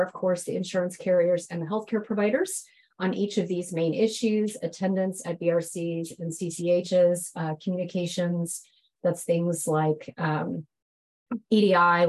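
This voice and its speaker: A woman's voice: fairly low pitch, a little mellow, low-quality recording. Woman with a head cold presenting slides. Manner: businesslike, with frequent ums and acronyms. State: head cold, a little hoarse. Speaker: agency enforcement presenter.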